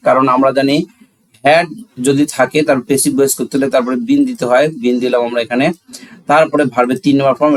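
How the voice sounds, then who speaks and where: Male tutor, Bangladeshi accent, mid-pitched voice; instructional delivery, walks learners through each step with self-answered questions. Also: rough recording. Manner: instructional, asks and answers own questions, switches between Bengali and English. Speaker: English grammar teacher. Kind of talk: classroom-style lesson, explaining step by step.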